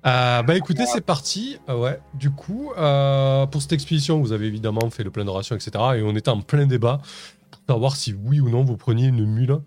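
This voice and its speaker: A man acting as game master, improvising narration for a tabletop roleplaying game in a hesitant, halting way with repeated words. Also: medium pitch, animated, a little mellow. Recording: music playing under the voice.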